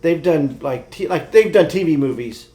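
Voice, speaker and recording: A man's voice: fairly high pitch, lively intonation, moderate pace, warm tone. A man co-hosting a podcast, chatting casually about pop culture in a blunt, opinionated way. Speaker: podcast co-host.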